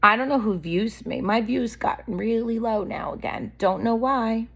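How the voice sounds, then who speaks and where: A woman's voice: a little high, measured pace, slightly rough. A woman vlogger talking straight to camera in a candid, blunt, rambling monologue, her voice a little worn from allergies.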